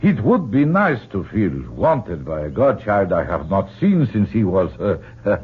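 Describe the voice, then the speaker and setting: An actor playing a courteous, weary older man in a radio drama, husky, speaking formally and haltingly. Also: fairly low pitch, big pitch swings, heavily acted. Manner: halting, formal, self-deprecating. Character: courteous, weary old male family friend and traveler. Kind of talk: old-time radio drama acting. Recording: tinny, band-limited old radio recording.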